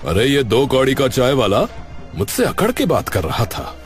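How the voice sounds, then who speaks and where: A man's voice: medium pitch, big pitch swings, normal speed. A man playing an arrogant, bullying landlord villain with a haughty, threatening manner. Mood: indignant, haughty. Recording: clear music bed behind voice.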